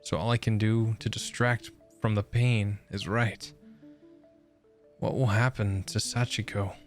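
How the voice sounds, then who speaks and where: A man's voice: on the low side, little pitch movement, unhurried. A man hosting a gaming video, casually commenting and reading game text aloud as he plays. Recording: game music behind the voice.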